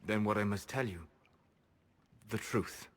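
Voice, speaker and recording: An actor playing a grave, gentle elven mage in a video game, speaking softly in measured, formal, solemn phrases with a melancholy wisdom. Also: male voice, fairly low pitch. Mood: tender. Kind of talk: dramatic video game acting.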